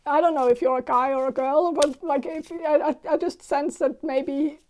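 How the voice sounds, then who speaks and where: A woman with a Danish accent, Icelandic by mother tongue, giving a casual tarot reading in a throaty, halting voice that searches for words. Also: sits high, steady tone, a little mellow, a little hiss or hum. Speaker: tarot reader.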